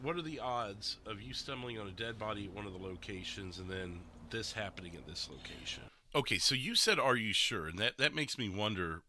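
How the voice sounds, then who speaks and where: Very bright, forceful low-voiced man. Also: animated, a little fast, few pauses. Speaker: streamer, podcast host. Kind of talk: casual game stream chat and roleplay.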